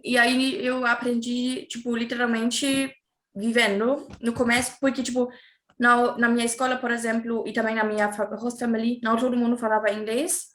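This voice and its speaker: A woman talks casually as a guest, speaking a language she learned later. Her voice sits a little high, and she often stops to search for words and fills the gaps. The room around her sounds echoey. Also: level tone, normal speed, a bit bright. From Germany. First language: German. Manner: hesitant, searching for words, many fillers. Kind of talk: casual interview chat.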